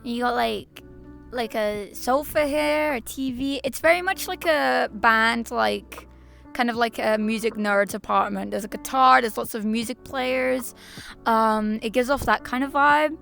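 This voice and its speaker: Raspy hoarse girl streamer rambling to chat, kinda high voice, lots of restarts. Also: unhurried, fairly bright tone, faint music behind. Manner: rambling, stop-start, repeats herself, laughs often. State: hoarse, slightly lost voice. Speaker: VTuber streamer. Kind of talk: casual live-stream chat to viewers.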